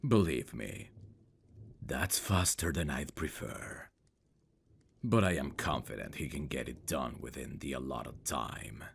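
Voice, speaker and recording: A man playing a stern, haughty eldest prince in a fantasy roleplay audio, speaking formally and condescendingly in a gravelly, breathy voice. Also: extremely low pitch, expressive, a little hiss or hum, full character voice. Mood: composed, formal. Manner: formal, measured, condescending, commanding.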